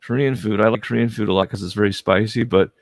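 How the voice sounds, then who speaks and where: A man's voice: fairly low pitch, fairly even intonation, fast, no room sound, clean studio sound. A man hosting a casual livestream interview, friendly and prone to stumbling restarts. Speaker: host.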